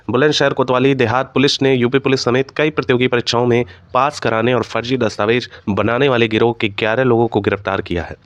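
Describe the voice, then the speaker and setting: A man's voice: mid-range voice, dry recording. Man explaining updates, dry sound. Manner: explanatory, peppered with English terms. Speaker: host of an exam news channel. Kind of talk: informal news update and exam analysis for students.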